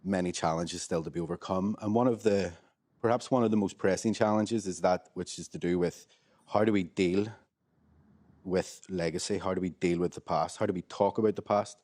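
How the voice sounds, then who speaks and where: A Northern Irish man speaks in a low, deep voice, lecturing at a measured pace. He is a professor talking on a panel, pausing often with ums. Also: fairly even intonation, a little mellow. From Northern Ireland. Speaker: history professor. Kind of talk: academic panel talk.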